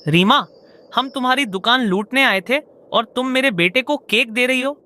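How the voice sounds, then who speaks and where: Grumpy cartoon bird crook played by a woman; bright, highish, forceful. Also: moderate pace. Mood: baffled. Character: grumpy male bird thief, jealous, later remorseful. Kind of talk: Hindi cartoon voice acting.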